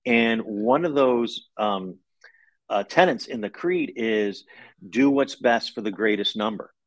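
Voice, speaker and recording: A middle-aged man speaks as an interviewed author, laying out a story step by step. His delivery is measured and conversational, with some halting moments. He is on a video call from home, with very little room echo. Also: fairly low pitch.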